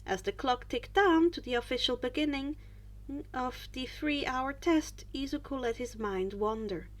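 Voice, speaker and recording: A woman's voice: high voice, a little slow. A woman reading a scripted story aloud as an audiobook-style narrator. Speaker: fan fiction reader and narrator.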